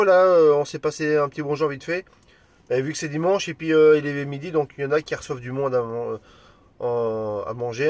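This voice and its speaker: A man's voice: fairly high pitch, no echo. A man from France working as an amateur radio operator, making radio calls and chatting in a friendly, informal, hesitant way. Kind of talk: radio calls and casual chat.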